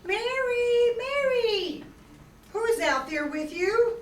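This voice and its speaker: A woman's voice: very high voice, animated, extremely slow, full character voice. A woman playing a suspicious mother calling out in an old-time radio drama. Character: Mary's shrill, suspicious mother. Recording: echoey room, distant mic, hiss.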